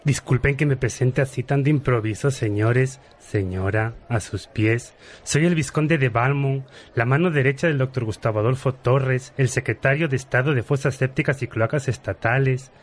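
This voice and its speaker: A man's voice: mid-range voice, normal speed, hardly any pauses, lightly acted. A man playing a pompous, gossipy viscount with formal, ornate speech in a parody radio soap opera. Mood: ingratiating. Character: pompous, gossipy male viscount, government right-hand man.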